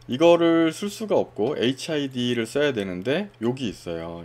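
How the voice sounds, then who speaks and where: A man talks through a software demo in a relaxed, informal way, narrating each click as he goes. His voice sits in a middle register but swings up and down a lot. He keeps things conversational, with small fillers along the way. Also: mid-range voice. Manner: casual step-by-step explaining with fillers. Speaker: software tutorial presenter. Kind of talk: screen-recorded software walkthrough.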